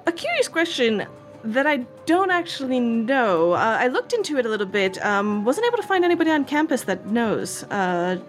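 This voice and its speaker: A woman running a tabletop game as game master, her pitch moving a lot as she narrates. She talks lively and laughs often, with faint music behind her. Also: moderate pace.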